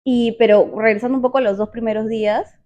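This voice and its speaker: A woman from Peru with a Peruvian accent, telling a personal story in casual chat, animated and quick to repeat words. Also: a little high, highs cut off. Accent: Peruvian Spanish.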